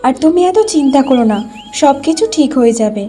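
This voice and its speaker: A woman voices a practical cartoon village wife with a high-pitched voice. She is a careful, sensible mother, with music playing behind. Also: expressive, brisk, mellow. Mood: caring. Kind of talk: Bengali cartoon voice acting.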